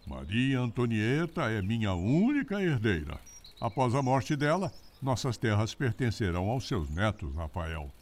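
An actor playing a boastful, greedy fifty-year-old landowner with a Brazilian accent and smug, formal old-fashioned speech in a radio drama. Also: male voice, mid-range voice, big pitch swings, unhurried. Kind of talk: radio soap opera acting.

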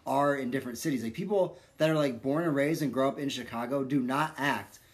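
Thirties man co-host, pushy and talks over people, middle-pitched voice. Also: brisk. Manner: insistent, keeps cutting in with repeated "listen", swears. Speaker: podcast co-host. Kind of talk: casual group debate.

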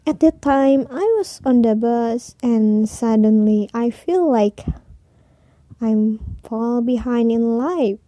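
A woman's voice: a little high, slow pace, warm tone, no room sound. A woman in her twenties with an Indonesian accent, hosting a casual personal podcast in hesitant, non-native English. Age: 20s. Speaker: podcast host.

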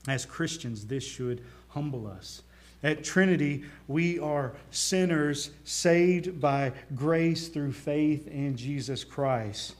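A male pastor with a Southern US accent preaching a sermon, earnest, swelling into emphasis and dropping quiet. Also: fairly high pitch. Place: church.

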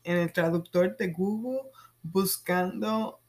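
A Puerto Rican woman in her thirties hosting a solo podcast, speaking haltingly with fillers and soft laughs. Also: on the low side, fairly even intonation. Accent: Puerto Rican Spanish. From Puerto Rico. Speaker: podcast host.

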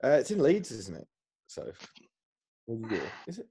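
A man's voice: mid-range voice, wildly swooping pitch, normal speed, dark tone, husky. A man co-hosting a casual podcast, easygoing and mostly giving brief replies. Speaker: podcast co-host. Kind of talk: casual chat.

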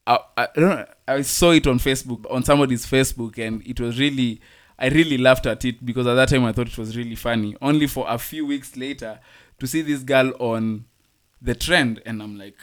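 A man from Kenya co-hosting a casual podcast chat, stumbling over words and laughing easily. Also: mid-range voice. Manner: halting, many restarts, laughs easily. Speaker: podcast co-host.

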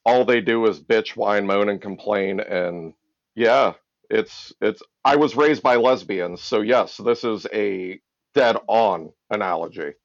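A slow-talking man from the United States with a low, gravelly voice. He swears constantly and stays laid-back. The recording is dull and dry. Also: no echo. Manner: crude, profane and laid-back. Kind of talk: casual chat.

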